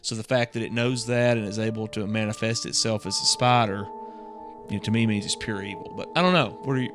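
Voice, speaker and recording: A man's voice: lowish voice, fast, music in the background. Musing man in casual podcast discussion. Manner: musing, tentative, thinking aloud. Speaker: podcast co-host. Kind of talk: casual discussion of a horror radio drama.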